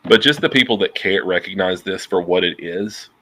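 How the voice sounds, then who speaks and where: A nasal man in his thirties, chatting loose and profane on a video call. His tone is bright and forceful, sitting on the lower side of a man's range. He picks up over earbuds from a dim room at home. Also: normal speed. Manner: profane, animated, gesturing a lot. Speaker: guest. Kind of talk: casual interview chat.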